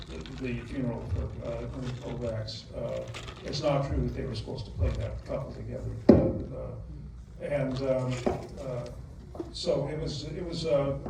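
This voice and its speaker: A man with a gravelly edge answers questions at a movie theater Q&A, stopping and restarting as he reaches for names and figures. His voice sits at an ordinary pitch but comes from a distance, and it rings through a big, reverberant theater hall. A steady hiss of noise runs underneath. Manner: halting, many fillers and restarts, detail-heavy. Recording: echoey theater hall, distant mic, noisy.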